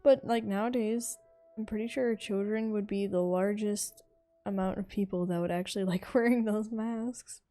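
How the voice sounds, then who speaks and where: Mellow, slangy young woman gaming. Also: medium pitch, fairly even intonation, normal speed, faint music behind. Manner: slangy, blunt, offhand. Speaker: streamer reacting to a game. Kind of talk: casual playthrough commentary.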